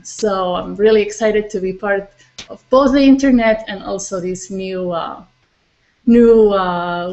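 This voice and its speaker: A woman from Catalonia answering interview questions over a video call, steady and enthusiastic. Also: mid-range voice, lo-fi sound. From Catalonia, Spain. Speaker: guest, internet professional.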